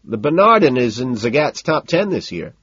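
Man acting a nervous yuppie, audiobook character, halting, ingratiating, animated, husky, dry muffled recording. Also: medium pitch, dry recording, voice pushed up. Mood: deliberate, probing. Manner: halting, deliberate, over-eager. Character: nervous, ingratiating young male yuppie, slyly insistent.

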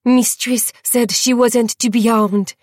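Woman doing a big young kennel man, pleading, urgent, pitched up and loud. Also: steady tone, takes it slowly, dry recording, studio quality.

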